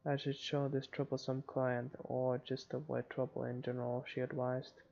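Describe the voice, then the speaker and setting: Guy reading a visual novel out loud, lots of fry, kinda dull mic. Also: medium pitch, flat delivery, moderate pace. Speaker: let's player reading a visual novel aloud. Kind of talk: dramatic read-aloud narration.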